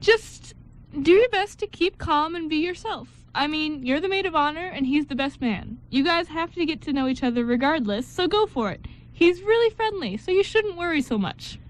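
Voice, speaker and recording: Radio play bride female actor: coaxing, reassuring best friend, high and girlish in pitch. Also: lively intonation, moderate pace. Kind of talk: amateur radio play script reading.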